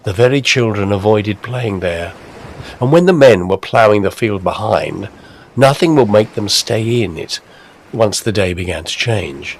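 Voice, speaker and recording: A man's voice: fairly low pitch, expressive, unhurried. A man narrating a scripted, spooky Victorian tale of the coast. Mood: storytelling. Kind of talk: scripted ghost-story narration.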